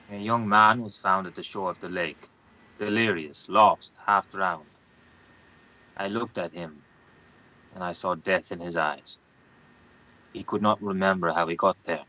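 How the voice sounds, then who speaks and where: Man acting a deep, forceful, grave newspaperman. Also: unhurried, pauses often, poor audio. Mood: grim, haunted. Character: grave, curt Guatemalan newspaperman in his thirties, dashing. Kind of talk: table read of a screenplay.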